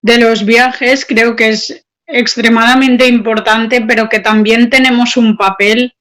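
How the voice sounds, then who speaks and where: A woman activist answering another speaker in an online panel discussion over a video call microphone, measured and deliberate. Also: fairly high pitch, flat delivery, fairly bright tone.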